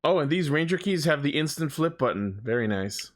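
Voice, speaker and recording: A man's voice: on the high side, expressive, brisk. A man co-hosting a podcast, chatting casually about fandom news and swearing freely. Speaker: podcast co-host.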